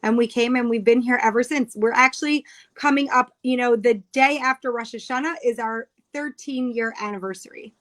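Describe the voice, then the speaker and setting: Higher-voiced chatty American woman. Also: brisk, few pauses. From New York, United States. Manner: chatty, explanatory, detailed. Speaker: guest, cookbook author. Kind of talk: casual interview chat.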